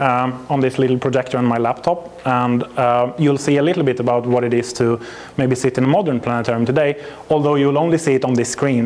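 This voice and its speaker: A man's voice: medium pitch, a little mellow. A man in his thirties with a Swedish accent, a native Swedish speaker, giving a chatty, self-deprecating conference presentation on a lapel mic, with frequent ums and restarts. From Sweden.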